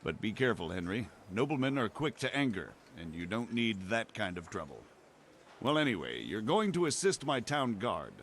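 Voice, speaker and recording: An actor playing a measured, authoritative town bailiff in a medieval video game. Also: male voice, on the low side, very animated, a bit bright, slight background noise.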